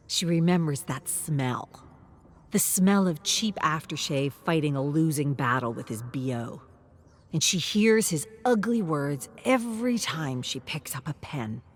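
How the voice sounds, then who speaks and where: A woman reading a scripted story in a dramatic, deliberate way, at times dropping to a hushed tone. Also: low-pitched, slight background noise. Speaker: narrator.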